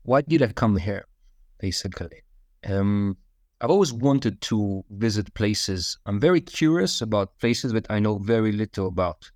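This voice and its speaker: A man talks in a relaxed, conversational way, telling stories with plenty of fillers and restarts. His voice sits on the low side. The sound is close and dry, with no room echo. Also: moderate pace. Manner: relaxed storytelling with many fillers. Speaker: podcast guest. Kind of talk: conversational interview answers.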